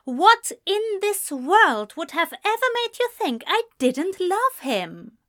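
A woman's voice: very high-pitched, big pitch swings, slow pace, a bit bright, voice pushed up, heavily acted. A woman voicing an earnest, prim man in an audiobook story, with formal, precise phrasing and a firm, exasperated manner. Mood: indignant.

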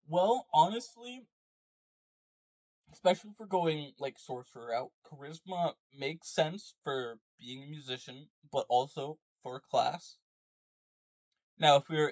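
Male co-host, fairly high voice, casual gaming chat, halting, thinking aloud, very dry recording. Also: darkish tone, dry recording, rough recording. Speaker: podcast co-host. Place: online voice call, dry sound.

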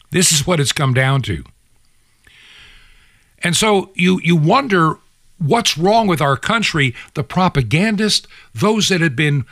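A man's voice: on the high side, fairly bright tone, no echo, clean studio sound. A man hosting a radio program, delivering opinionated monologue commentary in an indignant, preachy manner with rhetorical questions and emphatic repetition.

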